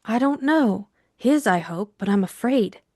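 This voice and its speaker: A woman's voice: on the high side, expressive, unhurried, dark tone, dry recording, clean studio sound. A woman acting a frightened, secretive female client, timid and earnest, in an audiobook drama. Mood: afraid.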